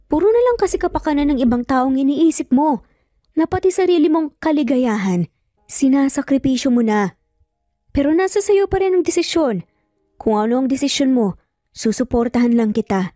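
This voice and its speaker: A woman storyteller acts out a caring older sister in an audio drama. She pleads gently and persuasively, with a mellow, higher voice, recorded close and dry over music. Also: expressive, normal speed, dry recording. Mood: concerned, pleading. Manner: earnest, persuasive.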